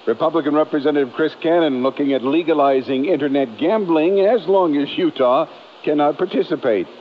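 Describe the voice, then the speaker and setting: A man's voice: a little high, fairly quick. A man with an American accent reading the morning news as a radio anchor. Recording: muffled radio aircheck with noise.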